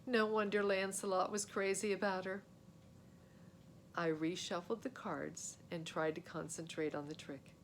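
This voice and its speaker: A woman reading a children's story aloud as a narrator, in an animated storytelling style. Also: measured pace. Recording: steady noise with low rumble.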